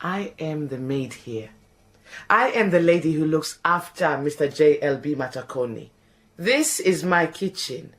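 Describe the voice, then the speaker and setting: A woman's voice: deep voice, animated, a little slow. A woman playing a proud, indignant housekeeper. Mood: haughty. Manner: theatrical, indignant, wailing. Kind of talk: radio drama acting.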